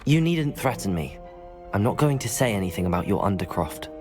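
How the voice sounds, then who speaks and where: Low-voiced, polite student. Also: male voice, sing-song, over music. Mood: polite, calm. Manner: measured, reasonable, polite. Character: calm, cautious, polite young student hero. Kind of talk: dramatic video game acting.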